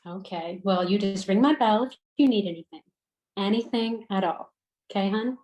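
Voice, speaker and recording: A woman's voice: mid-range voice, moderate pace, darkish tone, soft background music, natural voice, rough recording. A woman playing an attentive, motherly hospital worker in a screenplay table read. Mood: fussing, attentive. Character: motherly, attentive medical woman, phlebotomist or doctor.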